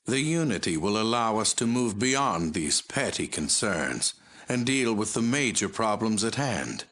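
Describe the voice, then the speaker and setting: A man's voice: lowish voice, sing-song, a bit bright, heavily acted. A man voicing a megalomaniac mutant overlord in a video game, cold, menacing and commanding. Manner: slow, commanding, imperious. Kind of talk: video game villain voice acting.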